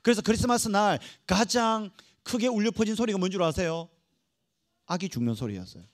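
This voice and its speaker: A male pastor delivers a sermon in a notably high voice for a man. He is emphatic and dramatic, stressing key phrases and drawing them out. He keeps turning to the congregation with rhetorical questions. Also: lively intonation, a little fast. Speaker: pastor preaching to young adults. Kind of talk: Protestant church sermon.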